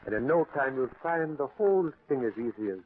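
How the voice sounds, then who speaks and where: An actor playing a possessive, menacing stage mind reader in old-time radio thriller style, with a commanding, silky, deliberate delivery. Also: male voice, mid-range voice, expressive, lightly acted. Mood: smooth, controlling. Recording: tinny, noisy old radio recording.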